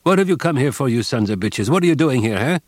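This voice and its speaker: A man's voice: mid-range voice, animated, quick pace, no echo, studio quality, pitched above the performer's own voice, close to an everyday voice. A man voicing a brutal male camp prisoner who screams with hysterical fury at new arrivals. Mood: furious.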